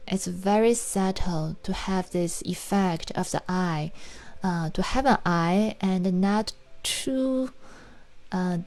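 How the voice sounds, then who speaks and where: Slow, gentle Chinese-accented woman. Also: lowish voice. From China. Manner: unhurried, gentle explanations with frequent pauses. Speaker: watercolor art teacher and farm owner. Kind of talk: narrated painting tutorial voice-over.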